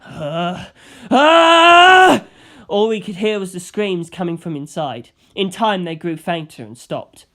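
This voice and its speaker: Male audiobook narrator, somewhat high register, first-person fiction read from a script. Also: normal speed, few pauses.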